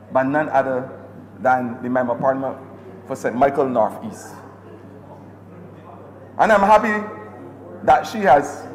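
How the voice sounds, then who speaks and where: A man with a Barbadian accent giving an impassioned, repetitive speech in a parliamentary debate. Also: medium pitch. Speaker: member of parliament. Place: parliament chamber. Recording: echoey chamber with long reverb and faint hiss.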